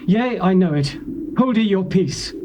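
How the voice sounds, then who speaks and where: Devoted prophet, British accent, high voice, solemn declaiming, biblical audio drama, slight tape noise. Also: male voice, expressive, a little slow, darkish tone. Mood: steadfast. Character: earnest, devoted younger male prophet, loyal and commanding. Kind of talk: dramatized scripture acting, archaic English. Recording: faint noise from old cassette tape.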